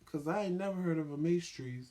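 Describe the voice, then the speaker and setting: Animated, playful man in his fifties. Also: fairly high pitch, a little fast. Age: 50s. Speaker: talk show co-host. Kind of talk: casual banter, storytelling.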